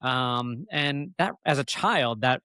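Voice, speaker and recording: Clear-voiced man, reflective, closely recorded. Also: mid-range voice, expressive, takes it slowly, bright, forceful tone, dry recording, studio quality. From born in Egypt, emigrated as a child. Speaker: podcast guest, author. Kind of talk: reflective personal storytelling in an interview.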